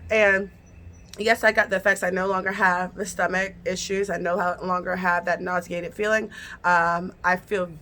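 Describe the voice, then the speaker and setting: A woman's voice: on the low side, flat delivery, moderate pace, bright, forceful tone, a little hiss or hum. A woman vlogger casually telling a personal story, chatty and repetitive, speaking straight to her viewers. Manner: chatty, repeats phrases, addresses viewers directly.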